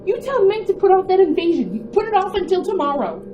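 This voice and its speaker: Actress as panicked mother, very high voice, breathless stammering pleas, old-time radio style, noisy roomy sound. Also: very animated, quick pace, highs cut off. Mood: anxious, evasive. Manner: breathless, stammering, pleading, hysterical. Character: terrified frantic housewife and mother, desperate to hide. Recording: roomy, noisy amateur radio drama recording.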